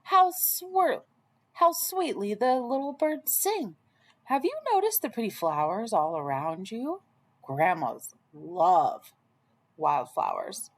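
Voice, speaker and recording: A woman's voice: mid-range voice, very animated, takes it slowly, heavily acted. Woman acting a sly storybook wolf, swooping voice. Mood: coaxing, sly. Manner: coaxing, falsely sweet. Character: sly, hungry male wolf, falsely sweet.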